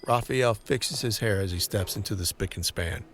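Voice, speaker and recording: A man narrating a scripted audio drama in a deliberate, dramatic manner. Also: on the low side, slight background noise. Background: dramatic music.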